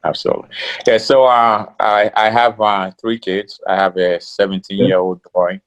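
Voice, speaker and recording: Very deep-voiced Ghanaian-born man, relaxed. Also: moderate pace. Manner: relaxed, many fillers like "you know". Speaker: interview guest. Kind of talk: casual interview talk.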